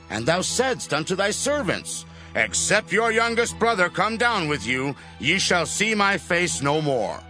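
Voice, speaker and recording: A humble brother begs before his lord as a man acts him out in a high, highly animated voice. The delivery is imploring and declamatory Bible drama, with faint music behind it. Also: heavily acted. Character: Judah, pleading humble brother, anxious servant before lord. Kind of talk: dramatized Bible audio acting.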